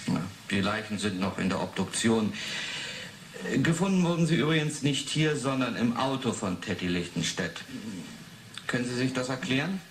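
A man's voice: mid-range voice, expressive, normal speed, lightly acted. An actor playing a sceptical male investigator in a radio play, questioning curtly and insistently. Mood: probing. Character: probing, sceptical male police interrogator. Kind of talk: 1980s German radio play acting. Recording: echoey room, faint noise.

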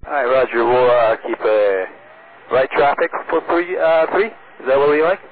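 A man's voice: medium pitch, unhurried. A man piloting a small plane, giving clipped readbacks over aviation radio. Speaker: aircraft pilot. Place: small aircraft cockpit. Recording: tinny narrowband cockpit radio with static.

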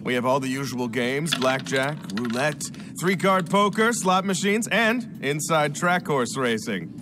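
An actor playing a fussy, eager-to-please casino guest services director in a video game, with a formal, obsequious, easily flustered manner. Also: male voice, on the high side, animated, a little slow. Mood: showy, eager. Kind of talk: video game cutscene acting. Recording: music bed behind the voice.

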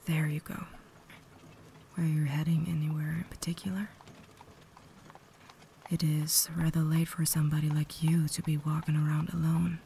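Female actor as refined, caring vampire lady, ASMR roleplay. Low, soft whisper, gentle formal phrasing, noticeable ambience behind. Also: flat delivery, normal speed, vocal fry, pauses often. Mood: caring, gracious. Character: cultured, caring vampire lady, secretly holding back. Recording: noticeable background ambience noise.

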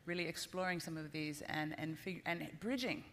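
Low gravelly middle-aged woman moderating a stage panel, chatty, faint hiss behind. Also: moderate pace. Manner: conversational, fillers like "you know". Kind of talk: panel discussion. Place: large conference stage indoors.

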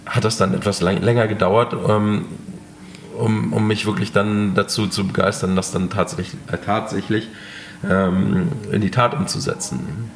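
A German man with a very low, deep voice, speaking slowly and hesitantly with frequent "ähm"s as he chats about his work as a chef. Faint background noise sits behind his voice. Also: steady tone, creaky. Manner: hesitant, many fillers. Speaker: chef, restaurant owner. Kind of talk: casual interview talk.

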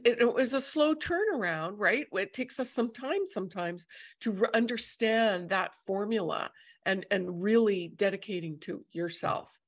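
A middle-aged woman, speaking as a host and coach in conversation on a video call. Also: mid-range voice. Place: indoor room, video call.

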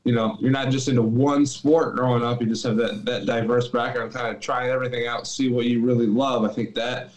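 A young man from Greece, a guest on a podcast, talking in a relaxed way with lots of fillers over a video call. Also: medium pitch, fairly quick, hardly any pauses, reverberant, poor audio. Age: young adult. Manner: relaxed, many fillers and restarts. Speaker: guest athlete. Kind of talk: casual interview chat. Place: small room at home.

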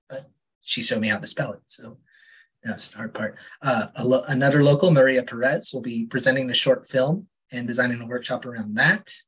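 Husky man making halting announcements. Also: mid-range voice, low-quality recording. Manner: halting, many uh fillers, gentle. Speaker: community workshop organizer. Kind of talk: casual announcement.

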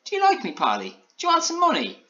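Storyteller doing a squeaky, boastful little gingerbread man. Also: wildly swooping pitch, quick pace, pushed and bright, lo-fi sound, full character voice. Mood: gleeful bragging. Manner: eager, needy, showy, sing-song bragging. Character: tiny cheerful boastful gingerbread man with electric muscles.

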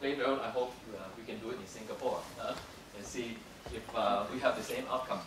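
A man giving an academic lecture, halting and full of fillers, switching between English and Cantonese. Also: medium pitch, steady tone. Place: lecture room. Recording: echoey room, distant, noisy.

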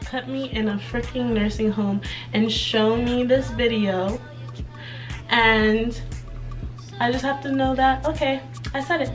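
A young woman chatting casually in a vlog update, a bit hoarse from laryngitis and rambling while she does her hair in her bedroom. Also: fairly high pitch, fairly even intonation, slow pace, long pauses, over music. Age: young adult. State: slightly hoarse from recent laryngitis. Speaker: vlogger. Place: bedroom with clothes rack, indoors.

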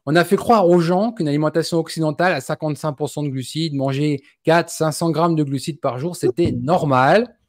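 A man's voice: a little high, brisk. A man in his forties with a France French accent, hosting an interview and speaking outspokenly and with indignation into a studio mic. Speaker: host. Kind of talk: interview, passionate opinion. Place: small indoor room. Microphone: studio mic on stand.

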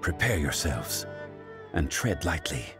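A calm, dignified male leader from a video game. His voice sits low and moves with expressive weight. He speaks formally and with authority over clear background music. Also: exaggerated voice. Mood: composed, commanding. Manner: formal, composed, measured. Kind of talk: video game character dialogue.

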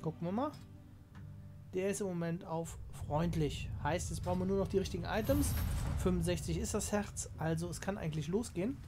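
A man hosting a gaming channel, chatting casually through his play and explaining it to viewers, his voice slightly hoarse from a cold. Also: high-pitched, brisk, over music. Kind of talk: casual let's play commentary.

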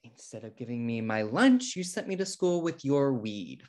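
A man's voice: medium pitch, sing-song, normal speed, a little mellow, close to an everyday voice. A man playing an uptight, exasperated twin brother, indignant and earnest, in a sitcom table read on a video call. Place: indoor room at home on video call.

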